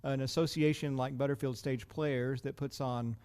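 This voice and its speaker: Male American podcast host, medium pitch, chatty and casual questioning. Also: fairly even intonation, moderate pace, darkish tone, smooth.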